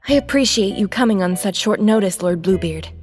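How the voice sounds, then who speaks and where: A woman playing a cool, shrewd deputy mayor in an audio drama, speaking with crisp, sardonic authority. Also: mid-range voice, animated, brisk, darkish tone, soft background music, close to an everyday voice. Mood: cool, formal.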